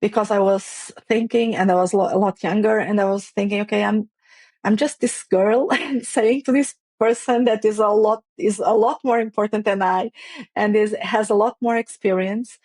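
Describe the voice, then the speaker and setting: Woman, English, hesitant, restarts, mid pitch, dry sound. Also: fairly even intonation, normal speed, darkish tone, no room sound. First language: Portuguese. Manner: hesitant, many restarts and fillers, non-native phrasing. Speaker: guest, playwright and facilitator. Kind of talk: conversational interview.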